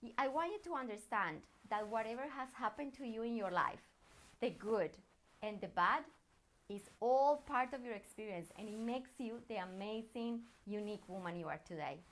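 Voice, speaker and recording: A woman with a fairly high voice and a Colombian accent, a native Spanish speaker. She talks as a personal branding coach, addressing the listener directly. Her delivery is encouraging and earnest, in the manner of a motivational lesson. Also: moderate pace. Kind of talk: motivational coaching talk to viewers.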